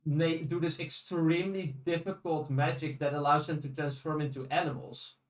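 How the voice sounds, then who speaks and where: Guy podcast host, kinda high voice, far from the mic in a roomy space, rambly and sweary. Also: fairly even intonation. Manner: halting, restarts phrases, swears casually. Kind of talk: casual discussion of audiobooks and fiction. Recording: roomy echo, distant from microphone.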